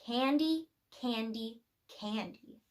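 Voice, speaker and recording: A woman reads a children's story aloud in a high-pitched voice. Her delivery is expressive, the kind used for picture books, and she places deliberate pauses between phrases. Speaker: storybook reader.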